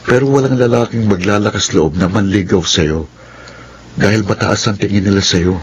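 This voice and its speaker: Male actor as humble rural young man, low deep voice, modest radio drama delivery, faint noise. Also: moderate pace, dull audio, lightly acted. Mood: humble, friendly. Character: humble young countryside farm caretaker, shy admirer. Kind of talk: Filipino radio drama acting.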